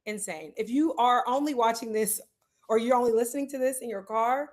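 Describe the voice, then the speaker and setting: Animated woman co-host in casual chat. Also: fairly high pitch. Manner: animated, quick asides, laughs often. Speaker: podcast co-host.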